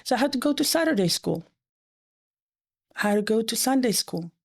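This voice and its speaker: Gravelly middle-aged woman telling stories quickly. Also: on the high side. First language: French. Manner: animated storyteller, quick bursts, drops to soft hushed tones when quoting. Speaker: guest, economist. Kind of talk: conversational interview.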